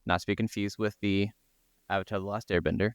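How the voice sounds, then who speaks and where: A man's voice: on the low side, level tone, fast, clean tone, dry recording, clean studio sound. A man co-hosting a podcast, chatting casually in a colloquial way with some swearing. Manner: colloquial, sweary, heavy use of "like". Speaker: podcast co-host. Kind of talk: casual banter between co-hosts.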